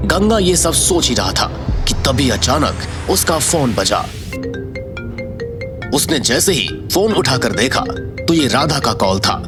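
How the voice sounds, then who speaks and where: A man's voice: medium pitch, sing-song, slow, over music. A man giving dramatic scripted narration as the narrator of an audio story.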